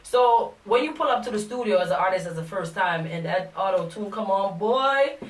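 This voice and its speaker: A blunt, animated young woman chats on a small studio show, laughing now and then. She sits a little back from the desk mic. The room is roomy and echoey. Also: medium pitch, normal speed, far from the mic. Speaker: co-host of a music talk show. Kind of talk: casual studio chat. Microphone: desk microphone.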